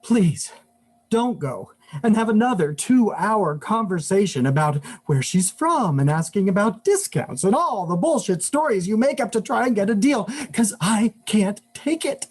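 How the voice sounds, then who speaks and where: A man's voice: sits high, very animated, normal speed, husky, exaggerated voice. A man performing a live monologue as an exasperated, overheated husband, complaining in a whining, dramatic, agitated way. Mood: impatient, pleading. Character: exasperated, overheated husband ranting about crowded shopping.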